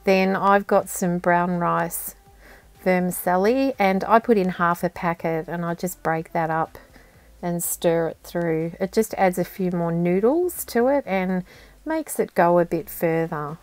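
Casting: Australian woman, 50s, home-cook host, low-ish voice, unhurried delivery. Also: dry recording. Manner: relaxed, homely and unhurried, plain step-by-step instructions. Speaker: cooking channel host. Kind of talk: home cooking tutorial. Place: indoors at home.

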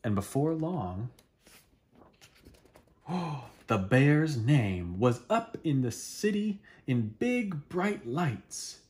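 A man in his thirties reading a children's picture book aloud expressively, with dramatic, deliberate pauses. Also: mid-range voice, big pitch swings, darkish tone. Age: 30s. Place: small living room at home, indoors.

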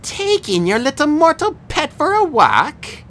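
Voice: high-pitched, huge pitch swings, a bit bright, a little hiss or hum, pitched above the performer's own voice, exaggerated voice. A narrator putting on a taunting monster cheerleader. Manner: slow, taunting. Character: cruel female monster cheerleader, purring menace.

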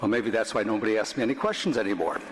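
A man's voice: lowish voice, lively intonation, rapid-fire, heavy background noise. An older man, a priest, giving a conversational homily at a lectern in a church, talking plainly with frequent restarts. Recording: reverberant church.

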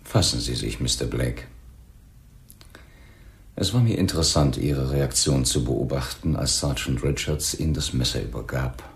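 A man acting in a radio drama as a grave police lieutenant who questions suspects in a deliberate, insistent way. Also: a bass voice, lively intonation, gravelly, reverberant, exaggerated voice. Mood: grave, measured.

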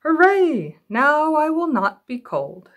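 A woman voicing an eager little bear child from a storybook, speaking in simple, slow exclamations. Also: sits high, very animated, voice pushed up, full character voice. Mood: delighted.

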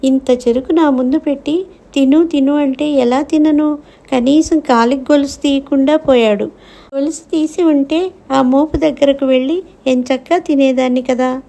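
A childlike baby elephant played by a woman speaks in a high voice over background music. It is hungry and full of complaint, in the manner of a children's cartoon fable. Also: steady tone, normal speed, warm tone. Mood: grumbling. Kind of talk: cartoon moral story voice acting.

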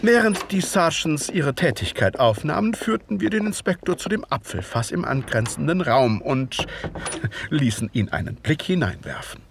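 Lively, modest Victorian doctor narrator. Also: male voice, a little high, normal speed, creaky, background noise. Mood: reflective narrating. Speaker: narrator and character. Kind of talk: audio drama narration with dialogue.

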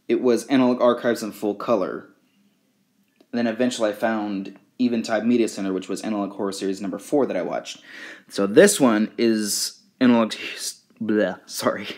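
Casual vlogger: male, fairly low voice, unscripted and slightly halting, presenting from behind the camera. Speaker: video creator showing fan merchandise. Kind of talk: casual show-and-tell vlog.

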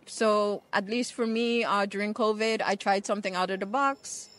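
A young woman from the Caribbean, answering a street interview outdoors into a handheld mic in a measured, thoughtful way with many fillers. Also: mid-range voice, steady tone. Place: outdoors on a wet city square.

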